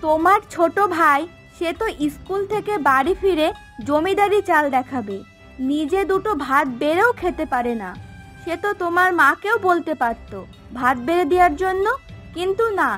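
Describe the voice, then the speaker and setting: A woman's voice: extremely high pitch, animated, moderate pace, a bit bright, faint music behind. A woman voicing a spoiled young wife in a cartoon, sulky and demanding, with a complaining, sarcastic way of talking. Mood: nagging complaint. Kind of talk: Bengali cartoon voice acting.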